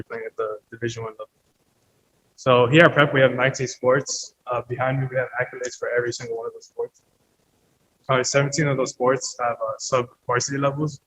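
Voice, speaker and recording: A man's voice: medium pitch, fairly bright tone, a little hiss or hum, poor audio. A young man in his late teens, a student team captain, talks casually to visitors, hesitating and restarting his sentences.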